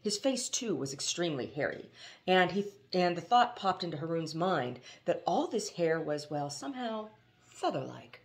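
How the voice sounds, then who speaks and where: A woman reading a novel aloud as expressive storybook narration. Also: on the low side, normal speed. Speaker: audiobook reader.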